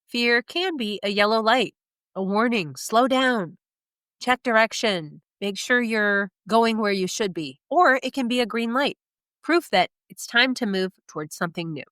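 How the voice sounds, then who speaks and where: A woman's voice: a little high, unhurried, a bit bright, no echo, clean studio sound. Nasal-voiced motivational podcast host woman. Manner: motivational, emphatic with rhetorical questions. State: nasal from a cold. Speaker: podcast host and career coach. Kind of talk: scripted solo podcast monologue.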